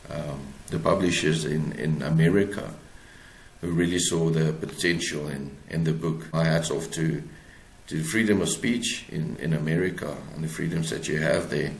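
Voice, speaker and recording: Male interview guest, South African accent, low-pitched, thoughtful and halting delivery, echoey room. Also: creaky, slight background noise. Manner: halting, repeats words as he searches for phrasing. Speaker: guest author. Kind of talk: reflective interview talk. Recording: roomy, echoing sound.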